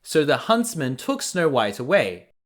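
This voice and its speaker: A man reading a scripted bedtime story aloud in a measured, expressive storyteller's manner. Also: a little high.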